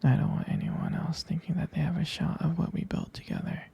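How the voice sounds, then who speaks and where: A jealous, possessive young boyfriend played by a man murmurs in a very husky, heavily crackling half-whisper. The tone is very dark and soft. He talks haltingly and tenderly, as intimate ASMR roleplay. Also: a little high, fairly even intonation, dull audio, heavily acted. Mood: jealous, earnest.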